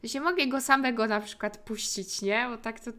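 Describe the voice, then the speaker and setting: Female game streamer, Polish accent; bright, highish voice, casual and reactive live commentary. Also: fast talker. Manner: reactive, exclamatory.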